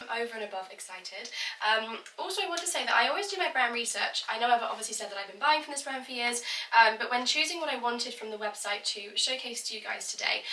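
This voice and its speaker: A woman's voice: fairly high pitch, sharp tone, slight background noise. Gushing woman with a cold. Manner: gushing, effusive. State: stuffy voice from a cold. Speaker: vlogger. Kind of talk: sponsored unboxing chat. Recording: echoey room, voice from a distance.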